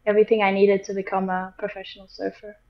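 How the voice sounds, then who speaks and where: A young woman from Portugal speaks into a laptop mic from a small room, soft-spoken and a little hesitant, pausing often on fillers. Her pitch stays flat and even. The call audio is dull and lo-fi. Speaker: guest, pro surfer. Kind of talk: casual interview over video call. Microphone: laptop or webcam mic on a video call.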